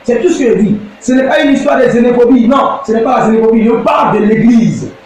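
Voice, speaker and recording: A man from Côte d'Ivoire with an Ivorian French accent, preaching an impassioned address as a pastor, often shouting and drawing out words for emphasis. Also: very high-pitched, very echoey, far from the mic, slight background noise, rough recording. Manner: declamatory, often shouting, with slow emphatic stretches.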